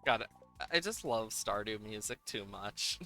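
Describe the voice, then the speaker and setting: Bright, lively man streaming a game. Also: on the low side, a little slow. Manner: playful, hums and makes sound effects while talking. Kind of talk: casual live gameplay commentary, thinking aloud. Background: game music.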